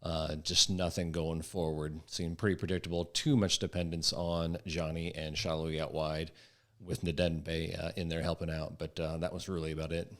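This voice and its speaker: Deep-voiced man analysing sport. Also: flat delivery, moderate pace. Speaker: podcast panelist. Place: in person around a table with several mics.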